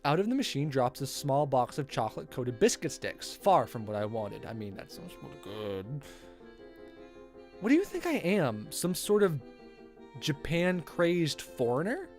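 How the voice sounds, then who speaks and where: Female streamer, lively swooping delivery, casual read-aloud with commentary over faint game music. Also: very deep voice, moderate pace. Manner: chatty, reacts to the story aloud.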